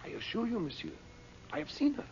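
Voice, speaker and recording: An actor playing a sly, mock-humble sorcerer, over-polite in broken English, in radio melodrama style. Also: male voice, extremely high pitch, huge pitch swings, quick pace, gravelly, a little mellow, full character voice. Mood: secretive. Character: sly, obsequious male foreign sorcerer, mock-humble schemer. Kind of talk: radio serial melodrama acting. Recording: muffled, hissy old radio recording.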